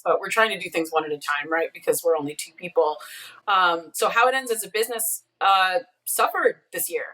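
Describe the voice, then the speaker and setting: A woman's voice: on the low side, fairly bright tone. A woman talking casually as an interview guest on a video call, explaining things in a rambling way with emphatic asides. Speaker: podcast co-creator and showrunner, interview guest. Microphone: video call, away from the mic. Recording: distant mic on a video call.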